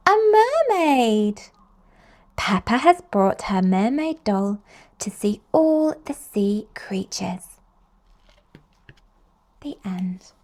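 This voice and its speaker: A woman reading picture books aloud to young children in an expressive, slow storytelling manner. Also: mid-range voice. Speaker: children's storybook reader and host.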